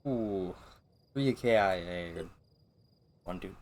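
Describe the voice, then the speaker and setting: Deep-voiced man muttering through gameplay. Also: a little mellow. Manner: sweary, muttering, halting. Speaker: gaming YouTuber. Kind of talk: casual let's play commentary.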